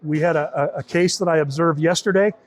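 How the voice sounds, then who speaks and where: A man giving an informal interview demo of a software tool, explaining step by step and often rephrasing as he goes. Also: on the high side, steady tone, a little fast. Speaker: software team member demonstrating a beta tool.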